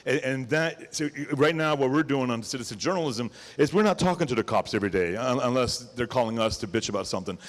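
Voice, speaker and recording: A man's voice: medium pitch, lively intonation, fast, few pauses. A man speaking informally and colloquially as a journalist on a panel. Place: event hall panel. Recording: reverberant hall.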